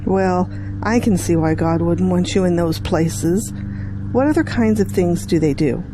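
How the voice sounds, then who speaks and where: A woman playing a patient, devout middle-aged mother, gently encouraging in an audio drama. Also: on the low side, unhurried, noisy background, natural voice. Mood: gently questioning.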